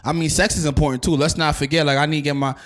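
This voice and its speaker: A man's voice: a little high, level tone, racing. Slangy New man hosting a podcast. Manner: blunt, slangy, repeats himself. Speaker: podcast host. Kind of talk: casual chat.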